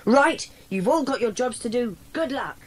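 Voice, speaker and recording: A woman playing a brisk, decisive schoolboy who leads a secret club in a dramatised children's audiobook. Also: a little high, lively intonation, very noisy, close to an everyday voice. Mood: brisk, commanding. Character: bossy, sensible schoolboy leader of a secret club.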